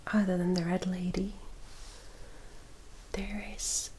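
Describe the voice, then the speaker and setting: A woman voicing a gentle, loyal young vampire in a whispered ASMR roleplay, speaking in a careful, slightly formal way. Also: fairly low pitch, flat delivery, slow, noisy background. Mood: hushed, wary.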